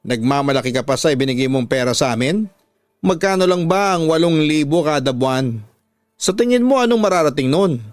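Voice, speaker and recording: Man voicing angry Filipino father, boastful, scolding, higher pitched, dry close sound, radio drama. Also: fairly quick, no room sound, lightly acted. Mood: scornful. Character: proud, angry, domineering father of a grown daughter.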